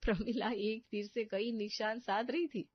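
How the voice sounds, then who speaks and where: A woman's voice: fairly high pitch, fairly even intonation, measured pace, no room sound, highs cut off. A woman giving scripted storytelling narration for a family tale.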